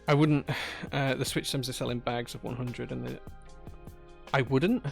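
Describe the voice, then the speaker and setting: A man's voice: medium pitch, steady tone, normal speed, gravelly, soft background music. A man with a Yorkshire accent chatting casually on a live stream, reading out viewer messages with a jokey, rambling manner. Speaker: streamer building keyboards.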